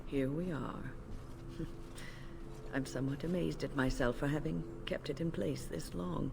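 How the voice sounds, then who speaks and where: An actress playing a grave, protective older female mage, speaking in a formal, measured way in a video game. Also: low-pitched, vocal fry, music in the background, full character voice. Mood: resolute.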